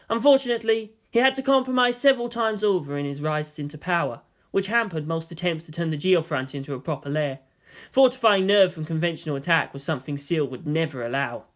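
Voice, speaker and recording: A man reading fan fiction as narrator, in a dramatic scripted storytelling style. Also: high voice.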